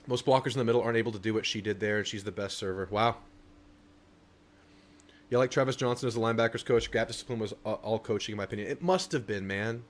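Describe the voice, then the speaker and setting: A man's voice: lowish voice, fast. A young man hosting a casual live stream, reading viewer messages aloud and answering them. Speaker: livestream host.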